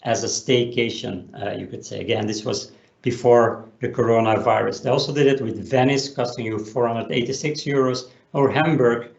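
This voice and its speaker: A man's voice: medium pitch, steady tone, normal speed, vocal fry. Man presenting in a roomy echo. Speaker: business presenter. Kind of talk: explanatory webinar presentation. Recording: roomy echo, dull lo-fi sound.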